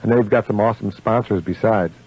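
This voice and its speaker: A man's voice: on the low side, fast talker, slight background noise. A man hosting a live radio interview in a chatty, effusive and enthusiastic manner. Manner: effusive, full of enthusiastic compliments. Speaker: radio host. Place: radio studio. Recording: dull AM radio broadcast.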